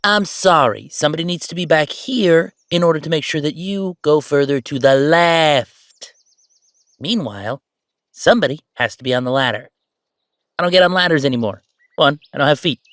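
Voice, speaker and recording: Man playing a animated, teasing ghost roommate. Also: on the high side, dry recording, studio quality. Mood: mock offended, bossy. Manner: animated, teasing, banter with pauses and repeats. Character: bossy, playful, sentimental male ghost roommate, dead a century. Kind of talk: roleplay audio drama monologue, conversational.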